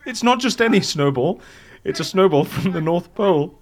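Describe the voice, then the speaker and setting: A man playing an eccentric, witty and excitable fixer in an audio drama, with theatrical, wry delivery. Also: high-pitched, moderate pace. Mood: eager.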